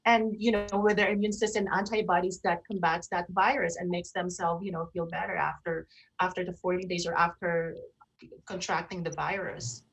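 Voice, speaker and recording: A woman's voice: on the low side, flat delivery, moderate pace, creaky, slightly rough, rough recording. A woman co-hosting a radio show in casual chat, repeating words as she forms her questions.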